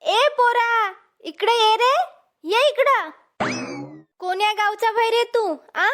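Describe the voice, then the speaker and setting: A woman's voice: very high voice, takes it slowly, fairly bright tone, heavily acted. A woman voicing a bossy, impatient village grandmother in a cartoon comedy, with a Vidarbha Marathi accent. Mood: scolding, impatient.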